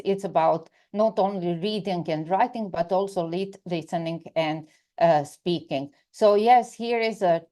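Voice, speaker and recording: A woman whose first language is Croatian, presenting in English with a Croatian accent, pausing often on filler sounds and restarting phrases, over a video call. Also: fairly low pitch, mellow. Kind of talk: online presentation.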